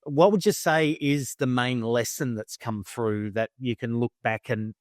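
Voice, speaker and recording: A male podcast host asks thoughtful, probing questions and affirms his guest often. His voice sits at an average pitch for a man. It is recorded close to the mic, with a dry, dead sound. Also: measured pace, dry recording. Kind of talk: interview conversation.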